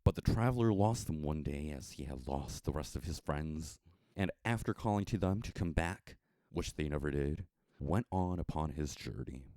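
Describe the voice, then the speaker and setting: An American man narrating a story from a script in a measured, expressive storytelling manner with dramatic emphasis. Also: extremely low pitch, no room sound. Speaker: audiobook narrator.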